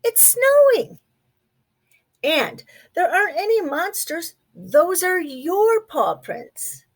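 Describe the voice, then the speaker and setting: Woman playing big storybook mouse, gentle, reassuring, high voice, unhurried explaining. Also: sing-song, exaggerated voice. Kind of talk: children's storybook character voice.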